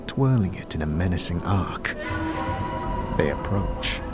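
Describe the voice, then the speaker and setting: Low-voiced man narrating dark fantasy. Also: expressive, unhurried. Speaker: narrator, game master. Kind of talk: dramatic second-person scripted narration. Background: music bed.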